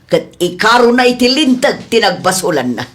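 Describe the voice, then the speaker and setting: A woman playing a stern female village captain in a comedy drama, admonishing and questioning deliberately. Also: on the high side, very animated, a bit bright. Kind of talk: Ilocano comedy audio drama acting.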